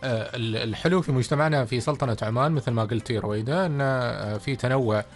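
Omani man radio co-host, fast easygoing chat, faint music bed. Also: medium pitch. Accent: Omani Arabic.

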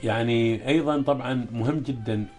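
Mellow-voiced man reciting poetry slowly. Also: mid-range voice. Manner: hesitant in talk, chanting cadence when reciting. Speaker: poet and guest. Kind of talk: interview with slow, measured poem recitation.

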